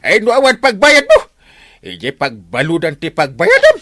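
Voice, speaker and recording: A blustering village captain in a comedy radio drama, with a high voice that swings wildly up and down. He scolds theatrically in an Ilocano accent. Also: huge pitch swings, moderate pace, fairly bright tone, dry recording, clean studio sound, heavily acted. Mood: indignant scolding. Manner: exaggerated, scolding, theatrical delivery. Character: blustering comic village captain accusing a thief.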